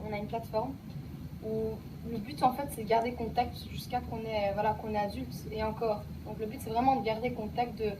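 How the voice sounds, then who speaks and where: A woman's voice: on the high side, steady tone, fairly quick, distant mic, background noise. A young woman, a former student, speaking casually in a radio interview with many fillers about what she learned. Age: young adult. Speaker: alumna, guest.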